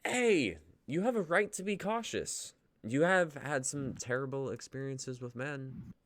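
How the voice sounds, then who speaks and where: A man acts out a supportive, protective friend. His mid-range voice swings widely in pitch as he reassures, in the natural style of an amateur comedy reading. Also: animated, a little slow, lightly acted. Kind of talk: dramatic reading of a comedy sketch.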